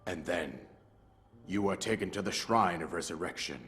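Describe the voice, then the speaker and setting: A deep-voiced, grave old king. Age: older. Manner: grave, formal and measured. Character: old, grieving king, solemn and regal. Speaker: narrator of past events in a cutscene. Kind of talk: video game cutscene voice acting. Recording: game cutscene with loud orchestral score. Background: orchestral music.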